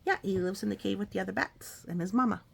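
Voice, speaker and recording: A woman's voice: mid-range voice, fast talker, creaky. A woman, a mother, reading a picture book aloud and chatting with her small child about it. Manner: asks and echoes a small child's questions. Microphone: phone recording.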